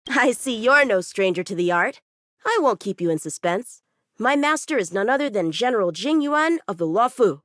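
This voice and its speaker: Game dub role: eager teen boy swordsman, highish, very animated, confident and courteous. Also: normal speed, no echo, studio quality. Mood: proud, eager. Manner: earnest, animated. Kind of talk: English video game dub acting.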